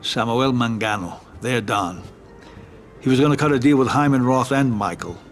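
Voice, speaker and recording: An actor playing a level-headed middle-aged mob lawyer and advisor who speaks calmly and in a measured way in a game cutscene. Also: male voice, medium pitch, soft background music, natural voice.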